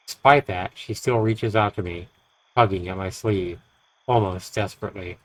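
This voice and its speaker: A man reading a horror story aloud as dramatic narration, with deliberate, expressive phrasing. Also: deep voice, poor audio. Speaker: streamer reading a visual novel aloud.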